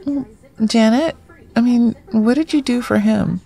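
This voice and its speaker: An actress playing a blunt, wry, loyal friend in a naturalistic audio drama, speaking casually. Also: female voice, on the high side, measured pace, vocal fry, dark tone, breathy edge, exaggerated voice. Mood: frank, challenging. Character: blunt, foul-mouthed, loyal woman friend, homebody.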